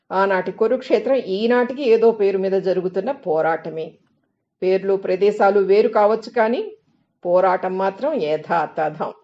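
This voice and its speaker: A woman reading a scripted spiritual essay as narration, with measured, deliberate phrasing and pauses. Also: medium pitch, warm tone, highs cut off. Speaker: reader of literary excerpts.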